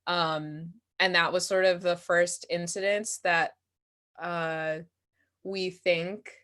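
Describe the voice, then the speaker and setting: American woman, slightly low voice, giggly and informal, casual post-show talk. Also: steady tone, takes it slowly, fairly bright tone. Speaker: actor and playwright answering audience questions.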